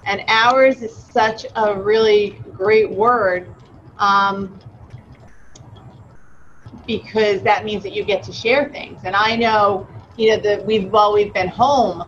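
A woman's voice: medium pitch, fairly bright tone. A woman hosting a read-aloud, reading and chatting with children in a simple, encouraging way. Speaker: read-aloud host, educator. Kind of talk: storybook reading and friendly discussion. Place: large meeting room indoors. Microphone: video call, distant from camera. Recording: dull video-call audio, faint noise.

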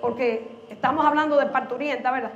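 A woman with a high voice and a Dominican accent speaks in a roomy, echoing space with music underneath. She explains her points emphatically, like an informal lecture to the public, and leans on rhetorical questions. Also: steady tone, brisk, highs cut off. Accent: Dominican Spanish. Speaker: speaker, activist. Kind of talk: informal public talk on economics. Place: indoor room at a speaking event. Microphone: handheld microphone.

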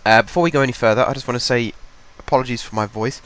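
A young man speaks close to a desk microphone, talking through his game in an easy, rambling way. He has a cold, so his voice sounds a bit stuffy and congested. His pitch sits in a middle range, and his delivery is loose and full of fillers. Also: rapid-fire, fairly bright tone. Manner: chatty, rambling, thinks aloud with fillers. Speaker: gaming channel host. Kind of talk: casual game commentary. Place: home desk.

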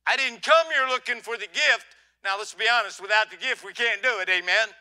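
An older man preaching a folksy sermon on a church stage, swinging from quiet asides to loud emphasis. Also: sits high, a little fast, clean tone. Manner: folksy, swings from soft to loud, asks "huh?".

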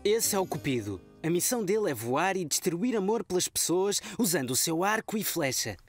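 An actor playing a calm, kind young father in a cartoon dub, with a European Portuguese accent. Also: sits high, fairly quick, faint music behind. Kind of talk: cartoon dubbing.

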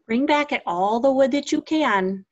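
A woman's voice: high-pitched, lively intonation, unhurried. A woman teacher reading her scripted part in a school reader's theater over a video call. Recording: dull lo-fi video call audio.

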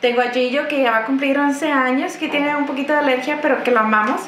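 Chatty high-voiced woman from the border. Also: fast talker. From Texas–Mexico border. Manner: chatty, warm, laughs often. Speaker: business owner guest. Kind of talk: interview answers. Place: office, seated at desk. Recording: roomy office with faint noise.

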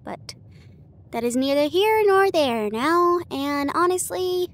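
A woman performing an intimate audio roleplay as an affectionate, bookish partner, chatting casually with hesitant pauses. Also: high voice, lively intonation. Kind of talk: intimate acted roleplay monologue. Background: ambient train sound effects.

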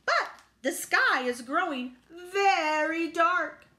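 A woman's voice: extremely high pitch, expressive, slow, pushed and bright, echoey room, voice pushed up, full character voice. A woman voicing a flustered male lookout duck in a storybook, sputtering and quacking with repeated words. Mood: reporting nervously.

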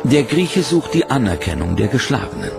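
A man with a gravelly, fry-edged voice narrates a documentary in a measured, serious way. Loud score music plays clearly behind him. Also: medium pitch, very animated.

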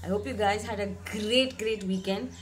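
A young woman, slightly hoarse from a cold, giving a reflective weekly tarot reading to camera in a small room, often repeating words for emphasis. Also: medium pitch, fairly even intonation, moderate pace, a little hiss or hum. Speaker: tarot reader. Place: bedroom with curtained window, indoors.

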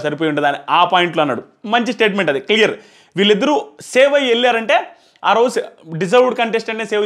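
A man, giving an informal, animated review as he explains and repeats his points into a lapel mic. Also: high voice. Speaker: reality show reviewer. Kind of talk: informal episode review commentary. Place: small plain indoor room. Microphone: clip-on lapel mic.

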